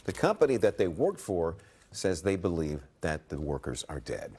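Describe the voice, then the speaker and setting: A man's voice: deep voice, big pitch swings, moderate pace, dark tone, breathy edge. A man anchoring a live television newscast. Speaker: news anchor.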